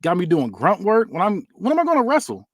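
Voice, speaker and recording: A man's voice: high-pitched, wildly swooping pitch, fast talker, dry recording, clean studio sound, pitched above the performer's own voice, exaggerated voice. A man voicing a frustrated young male wrestler complaining about grunt work.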